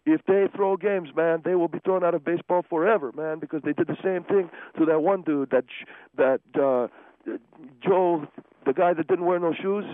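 A man's voice: on the high side, normal speed, dry recording. A man calling in to a radio talk show, talking casually and rambling, often saying "man" and "you know". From United States. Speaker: caller. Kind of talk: radio call-in argument. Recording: tinny phone line, very dry.

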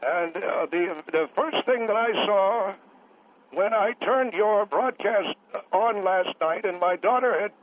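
Host putting on an old veteran caller on a phone line. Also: male voice, high voice, slow pace, voice pushed up. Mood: halting, earnest. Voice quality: hesitant, courteous, trailing off. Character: elderly male Navy veteran caller, polite and halting.

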